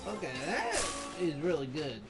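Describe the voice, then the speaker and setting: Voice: mid-range voice, huge pitch swings, normal speed, rough recording. A video host giving casual, offhand gameplay commentary in short muttered reactions. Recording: loud game music behind voice. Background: video game music.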